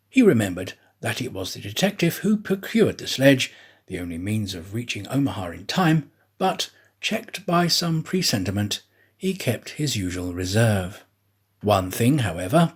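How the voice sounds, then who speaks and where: A man narrates an adventure story in the manner of an audiobook actor. His mid-pitched voice has a husky edge, and his intonation rises and falls widely. The delivery is deliberate and dramatic, shaped for storytelling.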